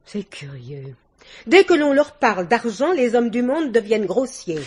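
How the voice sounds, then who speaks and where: Husky, effusive older society lady. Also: fairly high pitch, sing-song, measured pace, darkish tone, heavily acted. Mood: sly, insistent. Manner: theatrical, effusive, mannered, little laughs. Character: worldly, domineering older society woman, gushing and sly. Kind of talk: vintage French radio drama acting.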